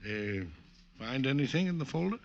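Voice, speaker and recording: An actor playing a stout, exasperated police lieutenant given to heavy sarcasm, in old radio banter style. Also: male voice, medium pitch, lively intonation, normal speed, creaky. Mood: exasperated, mocking. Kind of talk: 1940s-50s radio comic banter. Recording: dull old radio recording.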